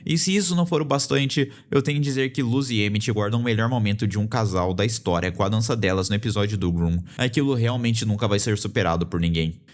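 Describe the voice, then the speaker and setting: A man's voice: fairly low pitch, fast talker, few pauses. A man with a Brazilian accent narrating a casual opinion video essay as a channel host. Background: background music.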